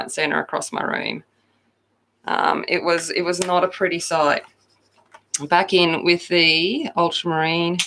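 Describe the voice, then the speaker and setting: A woman hosting a coloring channel, chatting loudly and casually with viewers while she colors, often poking fun at herself. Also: lowish voice. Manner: loud, chatty, self-deprecating.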